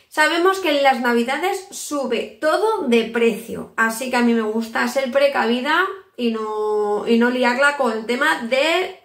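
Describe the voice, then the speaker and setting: High-voiced chatty woman, echoey kitchen. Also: fairly bright tone. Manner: chatty, shows items to camera, frequent restarts. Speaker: home vlogger. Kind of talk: casual haul video to camera. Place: kitchen at home, indoors.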